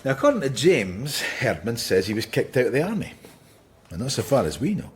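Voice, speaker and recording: Radio drama: middle-aged Scottish detective, dry, wry, defiant, very lively intonation. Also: male voice, medium pitch, fairly quick, breathy edge. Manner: sardonic, deadpan.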